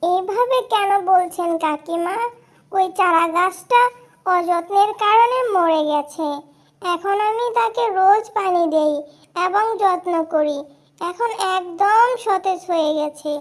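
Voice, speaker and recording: A woman's voice: very high-pitched, slow pace. A woman voicing an eager, tender-hearted little girl animal in a cartoon fairy tale, earnest and pleading. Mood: earnest, hopeful.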